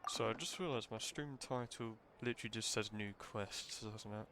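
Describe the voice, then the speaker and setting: A man's voice: lowish voice, moderate pace, pushed and bright, slight background noise. A man giving casual live commentary as a game streamer, rambling as he thinks aloud. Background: game music.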